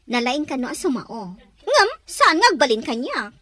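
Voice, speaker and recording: Scheming, sweet-talking young girlfriend, Ilocano accent, high pitch, lively swings, radio drama. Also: normal speed, slight background noise, full character voice.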